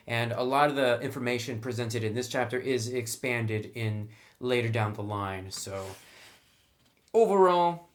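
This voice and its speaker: A man giving a casual, conversational review narration as a reviewer of tabletop game books. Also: medium pitch.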